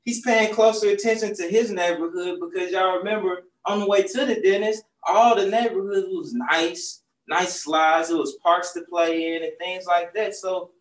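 A man's voice: high voice, moderate pace, hardly any pauses. A young man, a children's book author, talking casually through a face mask while seated in a bookstore. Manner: relaxed, conversational, speaks through a face mask. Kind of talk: casual storytime talk to kids. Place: bookstore, seated on a stool among shelves. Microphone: far camera. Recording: roomy distant sound on a video call.

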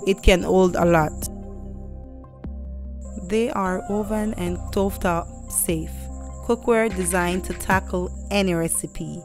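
A young woman vlogging from her home kitchen on a lapel mic, chatty and upbeat as she unboxes cookware and talks straight to her viewers. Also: mid-range voice. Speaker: lifestyle vlogger. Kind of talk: casual product unboxing. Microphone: clip-on lapel mic.

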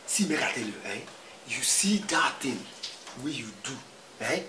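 A man's voice: medium pitch, very animated, moderate pace, background noise, close to an everyday voice. A man with a Nigerian accent playing a scheming, commanding church leader in a film. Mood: menacing. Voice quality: commanding, threatening. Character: scheming middle-aged male church leader. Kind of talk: Nigerian Pidgin film acting. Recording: echoey room with music.

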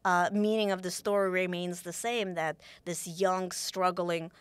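A woman's voice: fairly low pitch, a little slow, smooth, dry recording. Woman author, dry studio. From Ukraine. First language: Russian. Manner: animated, gesturing, many restarts. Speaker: author guest. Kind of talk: interview conversation. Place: small podcast studio. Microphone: boom mic on desk.

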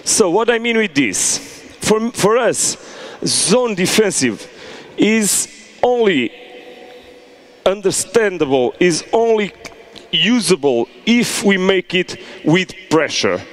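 A man's voice: sits high, slow pace. A middle-aged man coaching players through a soccer drill in a large indoor hall, checking in with "okay?" as he goes. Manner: animated instructions with frequent "okay?" check-ins. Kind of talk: on-field coaching demonstration.

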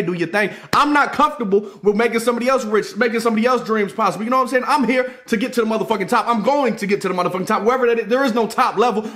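A young American man hosting a reaction video, loud, energetic and profane, talking straight to his viewers in a small indoor room. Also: very high-pitched. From United States. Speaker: YouTube reaction host. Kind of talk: hyped reaction video talk.

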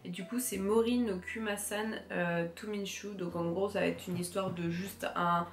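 A young woman with a cold talks to camera, her voice stuffy and nasal. She chats loosely, full of fillers and restarts. The room sounds echoey, with soft music playing underneath. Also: medium pitch, steady tone, moderate pace. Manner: chatty, many fillers and restarts. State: congested, stuffy nose from a cold. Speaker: anime vlogger. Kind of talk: casual chat to camera. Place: room with bookshelves. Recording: roomy echo, faint music behind.

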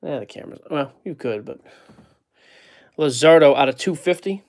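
A man's voice: fairly high pitch, animated, moderate pace, a little mellow. A man hosting a card break, casually joking while he reads out player names from the packs. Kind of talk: casual card-opening chat. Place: indoor card-breaking table.

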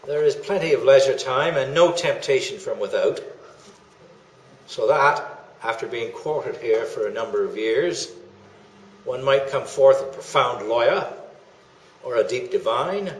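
A man's voice: mid-range voice, expressive, normal speed. An older man giving a dramatic reading of historical writings from a script. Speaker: reader of historical documents. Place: indoor meeting room. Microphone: camera a few feet away. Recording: echoey room.

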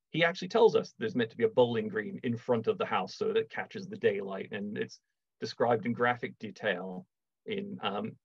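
Husky middle-aged guy lecturing over a video call, dry wry delivery, dull muffled audio. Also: lowish voice, dry recording. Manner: dry, measured, wry asides. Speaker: lecturer, archaeology project manager. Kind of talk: online slide lecture. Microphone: video call webcam.

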